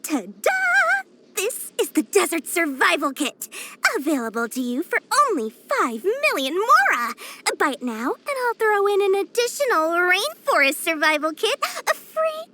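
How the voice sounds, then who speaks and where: A woman's voice: very high-pitched, big pitch swings, measured pace, bright, forceful tone, heavily acted. A woman voicing a small, cheerful, greedy young female merchant for a video game, with theatrical, sing-song sales patter. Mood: confident, salesy. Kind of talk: animated video game voice acting.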